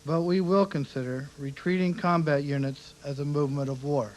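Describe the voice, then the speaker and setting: Fairly high-voiced American male spokesman. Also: steady tone, normal speed, a little mellow, low-quality recording. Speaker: government press spokesman. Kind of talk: formal press briefing.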